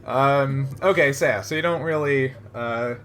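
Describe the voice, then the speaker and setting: A man's voice: medium pitch, a little slow, fairly bright tone, slight background noise. A man casually narrating as game master of a tabletop roleplaying game, feeling his way through his phrases in conversation.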